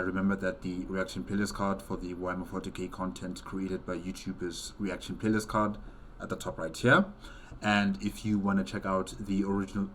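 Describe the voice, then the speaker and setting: A man with a low voice hosts a reaction video. He comments casually as he goes, with faint noise in the background. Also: fairly bright tone.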